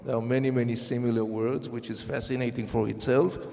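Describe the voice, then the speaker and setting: A man moderating a talk event, brisk and light-hearted as he comments between speakers. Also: medium pitch, little pitch movement, creaky, a little mellow. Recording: reverberant hall.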